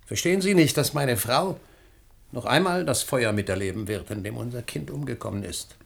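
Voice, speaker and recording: A man's voice: medium pitch, lively intonation, normal speed, gravelly, breathy edge. A man playing a guilt-ridden, grieving middle-aged academic in a German radio drama, intense and desperate in manner. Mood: desperate, anguished. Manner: intense, swings from outbursts to hushed confession.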